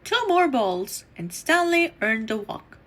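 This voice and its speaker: A woman reading a children's story aloud in an animated, deliberate storytelling manner. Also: sits high. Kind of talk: expressive read-aloud storytime narration.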